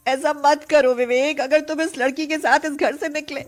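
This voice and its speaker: A woman's voice: high voice, steady tone, normal speed, voice pushed up, natural voice. A woman voicing a jealous, hot-tempered young wife in a family moral story, dramatic and imploring. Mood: desperate, pleading.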